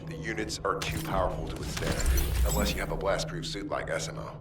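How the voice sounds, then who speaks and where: An actor playing a steady, cautious male mission-support comrade in a video game, giving formal, precise briefings, in a distant echoing recording. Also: sits low, fast, over loud music, natural voice. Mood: earnest, cautionary. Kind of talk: dramatic video game dialogue.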